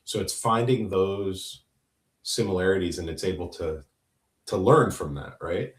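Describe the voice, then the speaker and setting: A man's voice: low-pitched, a little slow, recorded from a distance. A man hosting a podcast, reacting with enthusiasm and often asking "right?" to check in with his guest. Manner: enthusiastic, affirming, "right?" tag questions. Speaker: podcast host. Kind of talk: casual interview chat. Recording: echoey room, voice off-mic.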